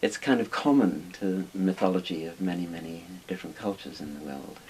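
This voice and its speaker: Older male expert from Wales, low-pitched, informal explainer, hissy room recording. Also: fairly quick, darkish tone, low-quality recording. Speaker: interviewed expert on Chinese dragons. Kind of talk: casual explanatory talk. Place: small book-lined room indoors. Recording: hissy background noise.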